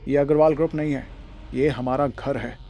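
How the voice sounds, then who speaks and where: A man's voice: medium pitch, steady tone, normal speed, mellow, highs cut off, a little hiss or hum, lightly acted. A man playing a blunt, defiant protector who challenges his elders in an audio drama. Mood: menacing. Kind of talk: Hindi audio drama acting.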